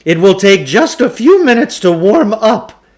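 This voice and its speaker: Guy doing a pompous oddball shop owner from a kids book, high voice, swoops around, grandiose showman. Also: sing-song, measured pace, a little mellow. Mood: excited. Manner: pompous, theatrical. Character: eccentric male occult shopkeeper, grandiose, boastful showman. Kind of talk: acted storybook dialogue.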